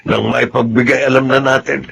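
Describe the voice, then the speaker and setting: A man's voice: medium pitch, flat delivery, brisk, gravelly, fairly bright tone, a little hiss or hum. A man acting a stubborn, earnest farmer husband and new father in a Filipino radio drama. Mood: earnest, reassuring.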